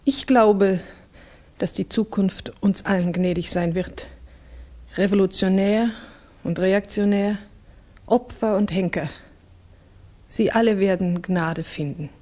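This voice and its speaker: An actress in a German radio drama playing a grieving, earnest young daughter, speaking gravely with measured dramatic pauses. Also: female voice, medium pitch, warm tone. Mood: earnest, hopeful.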